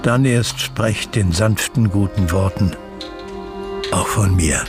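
An actor playing a solemn revolutionary in a German radio drama, speaking in a measured, incantatory way. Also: male voice, lowish voice, over music. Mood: solemn, defiant. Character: solemn male revolutionary, father, poet-like prophet of blood and freedom.

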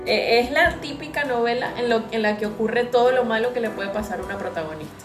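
A woman's voice: on the high side, quick pace. A Venezuelan woman chatting casually as a podcast co-host. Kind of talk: casual chat. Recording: roomy echo, guitar music behind. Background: guitar music.

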